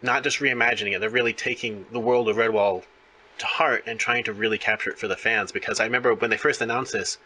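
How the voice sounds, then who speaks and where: A man's voice: lowish voice, fairly even intonation, fast talker, pushed and bright, low-quality recording. A man interviewing in a casual, chatty and enthusiastic fan-to-fan way. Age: adult parent of young children.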